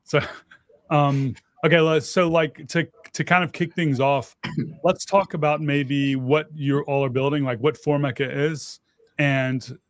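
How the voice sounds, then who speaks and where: A man's voice: on the high side, fairly even intonation, a little slow, dry recording. A man hosting a tech livestream in a casual, conversational style. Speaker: host, developer relations director.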